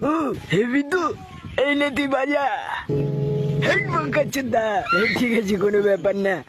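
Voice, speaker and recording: A woman voicing a cheeky, foul-mouthed comic cartoon character in a crude, exclamatory way, with loud music over the voice. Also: high voice, expressive, normal speed. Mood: playful. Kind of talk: comic cartoon skit dubbing.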